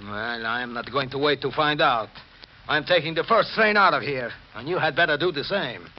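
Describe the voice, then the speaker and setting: A man's voice: medium pitch, animated, normal speed, lightly acted. A man acting a sinister, sardonic accomplice in a 1940s radio mystery, theatrical and insistent. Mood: cold, dismissive. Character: sinister, sardonic male accomplice who faked his death. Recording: muffled, noisy 1940s radio recording, partly echoing.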